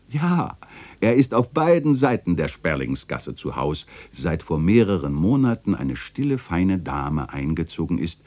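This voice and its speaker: An actor playing an ageing, fond, bookish chronicler, narrating a literary radio play in a reflective, lyrical, tender way. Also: male voice, low-pitched, mellow, exaggerated voice.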